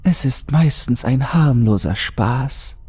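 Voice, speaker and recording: A man voicing a polite, calm, reassuring woman speaking from a safe in a horror audiobook. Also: medium pitch, slow, husky, faint music behind, voice pushed up.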